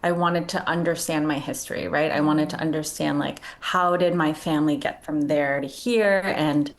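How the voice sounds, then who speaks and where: Woman novelist, low-pitched voice. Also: normal speed. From United States. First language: Korean. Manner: relaxed, conversational, casual fillers. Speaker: novelist guest. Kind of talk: remote interview answers.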